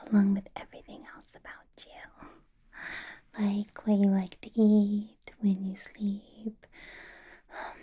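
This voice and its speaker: A woman playing a possessive, doting vampire boss in an ASMR roleplay, whispering in a breathy, teasing, intimate way. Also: medium pitch, dark tone. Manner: slow, teasing, intimate personal-attention delivery.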